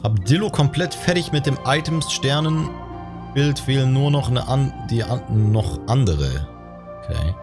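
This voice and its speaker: A man's voice: mid-range voice, animated, normal speed. A German man giving casual, slangy, joking live-stream commentary as he plays a game. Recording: game music behind the voice.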